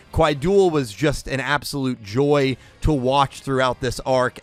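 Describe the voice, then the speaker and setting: A congested young man, his voice slightly higher than most men's, talks through an anime episode review. He rambles enthusiastically, doubling back on his words, while faint music plays underneath. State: congested from a cold. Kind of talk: casual solo video review.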